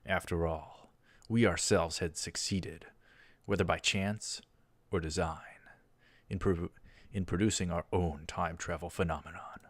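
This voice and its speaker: Low-pitched man reading a story aloud. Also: steady tone, measured pace. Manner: stumbles over lines and corrects himself. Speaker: let's play host reading a visual novel aloud. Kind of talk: scripted narration with brief asides.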